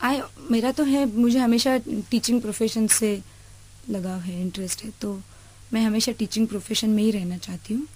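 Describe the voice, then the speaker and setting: Higher-pitched Indian woman, mellow, reflective. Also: fairly even intonation, moderate pace, low-quality recording. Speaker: interview guest, teacher. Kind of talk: reflective interview answers mixing Hindi and English.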